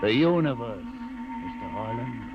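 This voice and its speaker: An actor playing a commanding, scornful older rebel guide in a 1950s radio drama, with a theatrical, sardonic delivery. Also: male voice, medium pitch, very animated, measured pace, loud music behind the voice. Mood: grand, rapturous. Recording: muffled, noisy 1950s radio recording.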